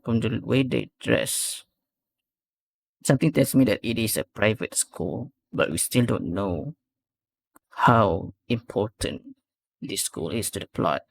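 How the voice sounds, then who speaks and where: A man's voice: mid-range voice, vocal fry. A man hosting a reaction video, giving casual commentary and analysis as he thinks aloud and repeats phrases. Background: faint music.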